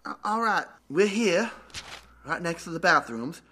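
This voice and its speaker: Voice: medium pitch, expressive, unhurried, close to an everyday voice. A performer playing a hesitant, folksy male zombie survivor in an amateur audio drama, stammering and drawling, with faint noise behind. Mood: uncertain.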